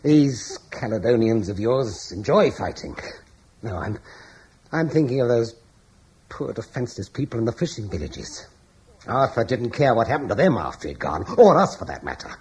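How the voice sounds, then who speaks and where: A man's voice: mid-range voice, very animated, moderate pace, a little mellow, rough recording. An actor playing an anxious, stammering man who blames others and is fleeing with his wife, in an old radio drama. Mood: bitter. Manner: hesitant, stammering, gloomy. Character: anxious older Romano-British man, fearful, bitter. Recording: old broadcast with faint hiss.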